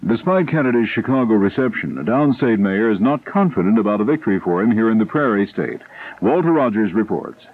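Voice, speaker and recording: A man's voice: fairly low pitch, lively intonation, a little fast. American radio newsman on tinny AM band. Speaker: radio news anchor. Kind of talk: scripted radio news and ad copy. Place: radio studio. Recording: narrow, tinny 1970s AM radio.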